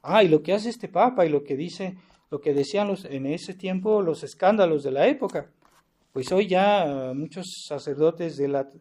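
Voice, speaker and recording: A man's voice: fairly high pitch, moderate pace, a little mellow. A man, a priest giving a sermon in an earnest, insistent way, often adding rhetorical tag questions. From Mexico. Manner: earnest and insistent, with frequent rhetorical "¿verdad?" tags and restarts.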